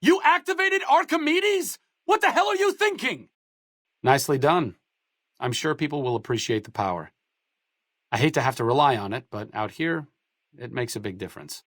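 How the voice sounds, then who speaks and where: Dry, wry wasteland doctor, close-miked. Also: male voice, on the high side, normal speed, a bit bright, no echo. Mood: outraged, then mild, measured. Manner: dry, articulate, sardonic, self-deprecating. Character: wry, educated, idealistic doctor-researcher in a post-apocalyptic wasteland. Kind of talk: video game companion dialogue.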